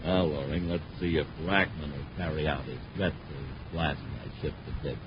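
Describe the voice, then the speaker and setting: An actor playing a scheming, smoothly courteous company boss in a 1950s radio adventure serial. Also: male voice, rumbling low, steady tone, normal speed, noisy background. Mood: gloating. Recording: muffled 1950s radio recording.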